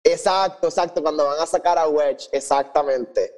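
A man's voice: a little high, normal speed, a little mellow. A man with a Puerto Rican accent co-hosting a podcast review, chatting casually and mixing English phrases into his Spanish. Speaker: podcast co-host reviewing an audio drama. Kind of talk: casual chat.

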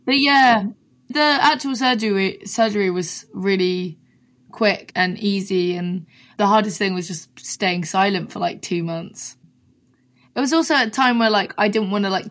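Husky-voiced young woman, chatty and casual. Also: mid-range voice. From London. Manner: chatty, peppered with "like". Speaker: singer-songwriter guest. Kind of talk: casual interview chat.

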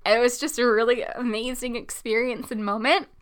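A woman's voice: a little high, fairly even intonation, moderate pace, smooth. A twenty-five-year-old woman hosting a podcast, chatting casually and upbeat, with lots of "like" and "um", answering listener questions. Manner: chatty, upbeat, lots of "like" and "um", laughs between thoughts. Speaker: podcast host.

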